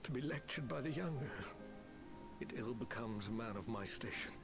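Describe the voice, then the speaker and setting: A man playing a proud, stiff older magistrate in a video game, speaking formally and deliberately. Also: mid-range voice, expressive, lightly acted. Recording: game music behind the voice.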